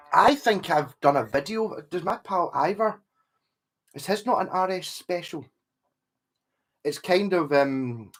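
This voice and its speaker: A man's voice: fairly high pitch, husky. A man hosting a livestream in casual chat, rambling and correcting himself as he reads viewer comments and greets people by name. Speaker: livestream host talking guitars with chat.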